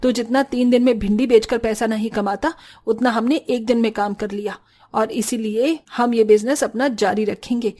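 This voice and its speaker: Bossy village mother-in-law played by a woman, highish voice. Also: fairly even intonation, normal speed, mellow, natural voice. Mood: scolding, firm. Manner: scolding, assertive. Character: bossy, sharp-tongued village mother-in-law, devoted businesswoman. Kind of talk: Hindi cartoon story dialogue.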